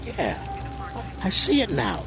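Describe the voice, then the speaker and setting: An actress playing a no-nonsense police officer in an audio drama, brusque and commanding. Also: female voice, sits low, huge pitch swings, measured pace, over music, full character voice, poor audio. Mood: bewildered. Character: no-nonsense, bewildered police officer on park patrol.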